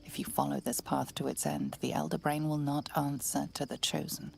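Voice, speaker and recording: A woman's voice: level tone, moderate pace, darkish tone. A woman narrating a video game in an ominous storytelling manner, at times dropping to a whisper, with music underneath. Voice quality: low gravelly voice. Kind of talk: scripted narration.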